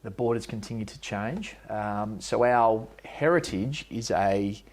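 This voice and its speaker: A man's voice: fairly low pitch, unhurried. A man running for mayor, answering interview questions in a rambling, hesitant way with many restarts, in a bar. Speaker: mayoral candidate and bar owner. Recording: loud background music.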